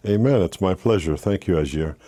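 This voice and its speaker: An older man from Haiti, a guest telling his life story with earnest faith, with halting restarts. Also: sits low, dark tone, no room sound. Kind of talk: interview, personal story.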